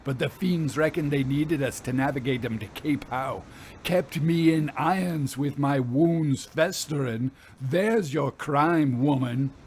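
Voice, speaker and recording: A man's voice: on the high side, a little slow, a little mellow, a little hiss or hum, lightly acted. A man voicing a defiant, scornful old sea captain in a story, with indignant, archaic and emphatic speech.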